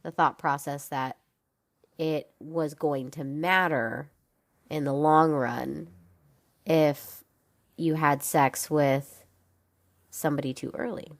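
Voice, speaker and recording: Young woman, podcast host, low voice, congested, chatty and frank, dry close sound. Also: fairly even intonation, slow, long pauses, no echo. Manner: chatty, frank, swears casually, many fillers. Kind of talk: casual solo podcast talk.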